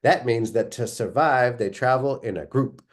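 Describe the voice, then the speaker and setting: Storybook motherly woman, read by a man: brisk, bossy, folksy instructions to children. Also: medium pitch, natural voice. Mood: brisk, coaching.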